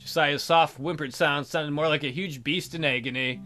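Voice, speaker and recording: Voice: medium pitch, fairly even intonation, moderate pace, pushed and bright, faint music behind. A game player reading a story aloud as narrator and breaking off for wry, teasing commentary. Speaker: let's play host.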